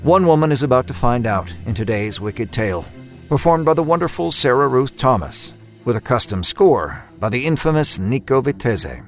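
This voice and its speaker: A man's voice: medium pitch, very animated, moderate pace. A man hosting a horror fiction podcast, reading a scripted introduction with a theatrical, dramatic delivery. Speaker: podcast host. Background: music under the voice.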